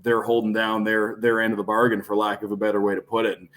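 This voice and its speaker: A man's voice: on the low side, monotone, quick pace, a bit bright. A man who works as a broadcaster, giving fluent, expansive sports analysis as a podcast guest.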